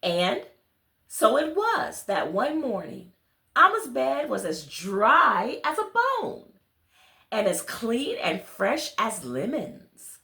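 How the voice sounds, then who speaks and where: A woman reading a children's picture book aloud, expressive, speaking directly to her young listeners. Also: on the high side, takes it slowly, creaky, reverberant. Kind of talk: children's read-along with friendly asides to listeners.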